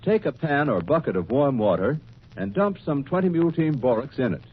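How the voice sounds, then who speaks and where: An American man announces an old-time radio advertisement in measured, formal phrases. His mid-pitched voice is very animated and a little rough. It comes through thin and narrow, like a telephone line. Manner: measured, formal sales delivery.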